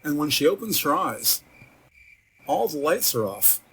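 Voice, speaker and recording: A man reading scripted audio drama narration in a measured, detailed storytelling manner. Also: on the high side, big pitch swings, fairly bright tone, slight background noise, rough recording. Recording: music behind the voice.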